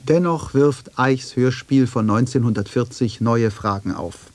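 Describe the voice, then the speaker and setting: Man, average-pitched voice, scripted radio narration, very little room sound. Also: unhurried, a little mellow, dry recording.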